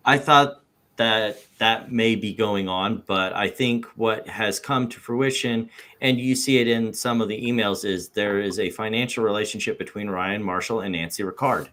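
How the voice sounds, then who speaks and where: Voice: mid-range voice, moderate pace, fairly bright tone, natural voice. Hedging businessman witness, acted by a reader, noncommittal and evasive in a deposition reenactment. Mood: guarded. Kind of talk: read-aloud deposition transcript.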